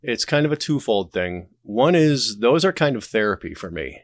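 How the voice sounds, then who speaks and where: A man's voice: on the low side, sing-song, normal speed, no room sound. A man speaking as a podcast guest in a casual, candid conversational style. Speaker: podcast guest, audio drama creator and streamer.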